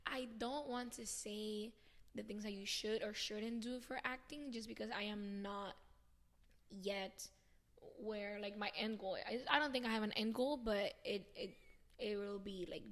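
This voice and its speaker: Flat-voiced woman rambling on. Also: medium pitch, normal speed. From Venezuela. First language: Spanish. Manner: rambling, chatty, thinks aloud as she talks. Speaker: podcast guest. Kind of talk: casual interview chat.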